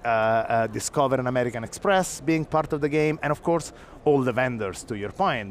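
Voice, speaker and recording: A man's voice: medium pitch, a little hiss or hum. Italian-accented man at a busy convention. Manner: fast, energetic, gesturing, restarts. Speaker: guest, foundation executive. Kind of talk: conference interview. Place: busy convention hall floor.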